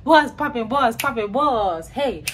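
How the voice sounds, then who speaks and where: A woman's voice: fairly high pitch, lively intonation, a little slow, background noise. A young woman from New York chatting to camera in her bedroom, talking casually with slang and playful asides. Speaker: hair vlogger. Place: small bedroom, indoors.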